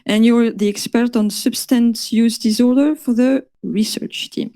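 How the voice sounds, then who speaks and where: A woman's voice: on the high side, fairly even intonation, measured pace, dark tone. A woman chairing a conference session, politely introducing the next speaker. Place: conference hall.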